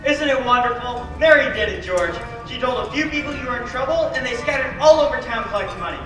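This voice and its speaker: A vintage radio drama player, acted by a teenage boy, with a notably high voice. He is heard from a distance in a very echoey hall with music behind. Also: lively intonation, fast, a bit bright. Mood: delighted, gossipy. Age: teens. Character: excited townsfolk and announcer of radio play. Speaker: student theater performer. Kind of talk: 1940s radio acting. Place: live stage performance in a hall.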